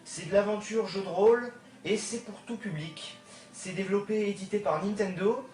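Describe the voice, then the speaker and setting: A young man hesitantly talks over a video game, pausing on fillers as he goes. he sounds distant and roomy, caught on a phone with no mic, and game music plays faintly behind him. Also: sits high, a little mellow. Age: young adult. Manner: hesitant, filler-heavy narration. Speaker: gaming video maker. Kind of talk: early gaming commentary video. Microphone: phone, no microphone. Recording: old roomy recording with music.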